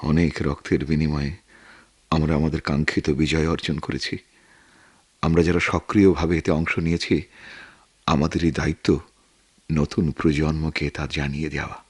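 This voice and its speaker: Very deep, husky war veteran. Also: male voice, flat delivery, no echo. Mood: solemn, reflective. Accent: Bangladeshi. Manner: measured, reflective, literary delivery. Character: earnest, wounded male war veteran turned writer. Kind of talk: Bengali radio drama acting.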